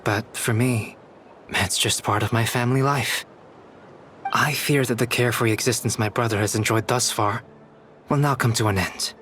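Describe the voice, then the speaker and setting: A man playing a calm, soft-spoken young scholar, an artificial human in a video game, speaking formally and reflectively. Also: medium pitch, slight background noise, lightly acted. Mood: calm, thoughtful. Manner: measured, reflective, formal diction. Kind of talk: scripted video game dialogue. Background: background music.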